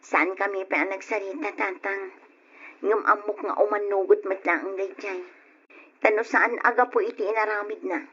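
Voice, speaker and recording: A woman's voice: medium pitch, steady tone, moderate pace, a bit bright, slight background noise, natural voice. A woman playing a burdened but determined, practical wife in an old radio drama, speaking earnestly and resolutely. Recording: dull, lo-fi old radio recording.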